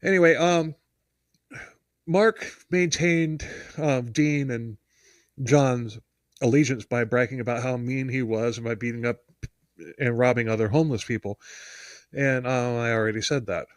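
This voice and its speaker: Rambling, emphatic American man, mid-range pitch, dry close mic. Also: unhurried, long pauses, no echo. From United States. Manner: rambling, self-interrupting, emphatic. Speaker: podcast co-host. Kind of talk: casual podcast chat and true-crime storytelling.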